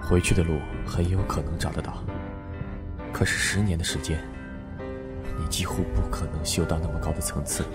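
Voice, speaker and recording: Man playing a very deep, reserved, solemn man. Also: steady tone, normal speed, long pauses, loud music behind the voice. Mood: solemn, resolute. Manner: restrained, grave. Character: reserved, solemn supernatural man hiding his affection. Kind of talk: Chinese costume audio drama acting.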